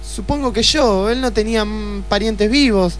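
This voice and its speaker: A woman's voice: mid-range voice, normal speed, close to an everyday voice. Woman acting a haughty femme fatale, noisy recording. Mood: composed. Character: melodramatic, haughty femme fatale of detective parody. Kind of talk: comic radio play acting.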